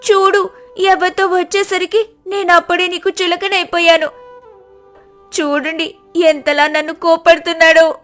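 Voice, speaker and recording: Woman acting a high, wailing foolish village wife. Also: flat delivery, a little slow, soft background music. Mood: tearful, hurt. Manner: loud lamenting, melodramatic.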